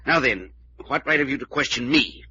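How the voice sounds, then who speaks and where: A sharp, pompous radio attorney. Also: male voice, medium pitch, normal speed. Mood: haughty, suspicious. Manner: formal, pompous. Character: haughty, indignant, ominous male family attorney. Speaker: radio actor. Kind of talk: old-time American radio drama acting. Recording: muffled, noisy old radio recording.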